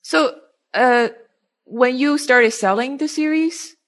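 A woman's voice: high-pitched, takes it slowly. A woman interviewing a guest in a casual way, phrasing her questions tentatively and piece by piece.

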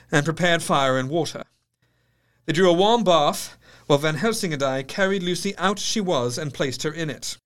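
A solemn, higher-voiced Victorian doctor narrating. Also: male voice, fairly bright tone. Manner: measured, solemn, literary. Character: grave, shaken Victorian male doctor recounting his diary. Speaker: actor narrating in character. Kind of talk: dramatic scripted first-person narration.

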